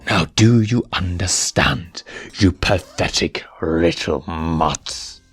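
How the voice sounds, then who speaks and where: A man voicing a cruel adult male lion villain, threatening in a slow, drawn-out way. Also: deep voice, husky, dry recording, soft background music, heavily acted. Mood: menacing.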